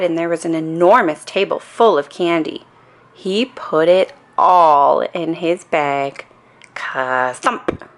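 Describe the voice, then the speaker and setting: A woman reading a children's story aloud in an expressive, deliberate way with dramatic emphasis. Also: sits low, vocal fry. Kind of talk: animated bedtime story read-aloud.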